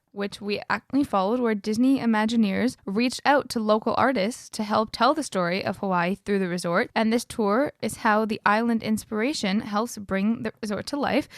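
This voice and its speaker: Female podcast co-host, steady and informative, a touch high-voiced, dry close mic. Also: dry recording. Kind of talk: reading notes in casual chat.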